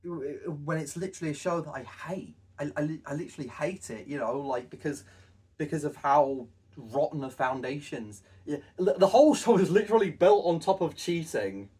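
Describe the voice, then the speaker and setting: A young man co-hosting a casual retrospective chat, halting and often repeating his phrases as he goes. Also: on the high side, expressive, a little mellow, slightly rough. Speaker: co-host, web series creator. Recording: distant from the mic.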